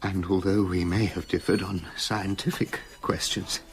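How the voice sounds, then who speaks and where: Slow-spoken, tormented Victorian gentleman scientist. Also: low-pitched, flat delivery. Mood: grave pleading. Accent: British. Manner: measured, halting, emotional delivery. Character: tormented, genteel Victorian male scientist, well-meaning and anguished. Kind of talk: British radio drama acting.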